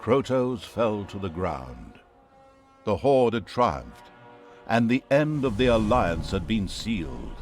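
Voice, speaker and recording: An actor voicing a sardonic, self-mocking storybook narrator of a fantasy video game, with pompous theatrical delivery. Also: male voice, on the low side, sing-song, slow pace, faint music behind, heavily acted. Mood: wry, grandiose. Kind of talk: dramatic scripted fantasy narration with tongue in cheek.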